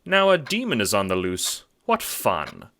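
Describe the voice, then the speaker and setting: A man voicing a calm, courteous, smugly sinister puppet-like host in an audio drama, speaking in a mannered, formal, condescending way. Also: lowish voice, measured pace, pitched above the performer's own voice, heavily acted. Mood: smug musing. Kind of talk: theatrical audio drama narration.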